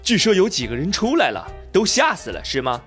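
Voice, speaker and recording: A man's voice: sits high, huge pitch swings, a little fast, a bit bright, soft background music, full character voice. A man from Shandong voicing a curious, skeptical young male reporter in an amateur radio drama, asking probing questions. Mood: questioning. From Shandong, China.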